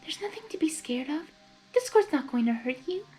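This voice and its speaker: Sweet storybook pony, woman acting her: high, hushed, breathy, kindly and patient but firm, over faint music. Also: big pitch swings, moderate pace, rough recording. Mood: soothing. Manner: tender, coaxing. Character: gentle, soft-spoken female pony, kindly but quietly firm.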